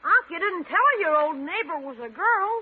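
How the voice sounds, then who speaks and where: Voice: extremely high pitch, expressive, normal speed, background noise. An actor playing a brash, sarcastic young schoolboy nephew in vintage radio comedy. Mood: teasing, sarcastic. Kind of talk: 1940s-50s radio comedy acting. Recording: vintage radio, narrow band.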